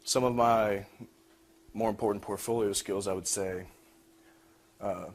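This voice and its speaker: A young man with a fairly low voice gives an informal student presentation about his own work. The recording carries a little background noise. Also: steady tone, a little slow. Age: young adult. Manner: frequent throat clearing. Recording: noisy room recording.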